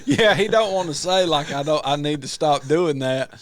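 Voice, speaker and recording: A man's voice: fairly high pitch, animated, normal speed. Folksy podcast guy teasing the others, voice jumps around a lot. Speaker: podcast co-host. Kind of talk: casual group chat.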